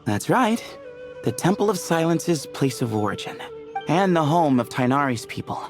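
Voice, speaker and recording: An actor playing a young temple leader in a video game, playful and teasing with easy laughter. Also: male voice, fairly high pitch, sing-song, normal speed, music in the background.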